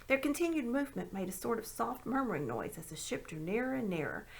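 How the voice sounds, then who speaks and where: A woman reading a children's story aloud in an expressive narrating style. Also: fairly high pitch, quick pace, gravelly, slightly rough. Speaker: amateur audiobook reader.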